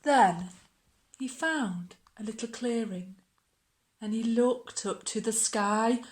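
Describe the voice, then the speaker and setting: A woman reading a children's story aloud like a teacher, with expressive, dramatic storytelling. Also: on the high side, slow.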